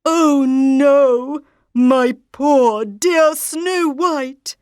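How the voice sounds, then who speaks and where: A kind, worried father king in a storybook, speaking earnestly and very slowly, as a woman acts him out. The sound is close and dry. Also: high voice, very animated, fairly bright tone, no echo, pitched above the performer's own voice, heavily acted.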